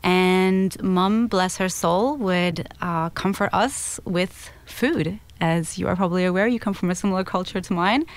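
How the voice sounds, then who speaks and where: A woman's voice: lowish voice, measured pace, hardly any pauses. A woman from Egypt, a guest on an interview show, telling her life story in a candid, reflective way. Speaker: interview guest, author and speaker.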